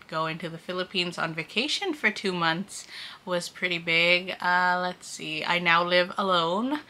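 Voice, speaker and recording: Bright-voiced woman in her thirties vlogging. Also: on the low side. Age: 30s. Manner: chatty, giggly, lots of "like". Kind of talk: casual vlog chat to camera.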